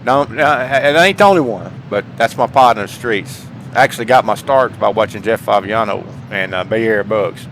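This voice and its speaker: A man's voice: on the low side, brisk, hardly any pauses, a bit bright. Folksy man chatting, with background noise. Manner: folksy, repeats phrases for emphasis; earnest, heartfelt. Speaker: gaming channel host. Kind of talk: casual chat to viewers. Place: indoors at home, paintings behind.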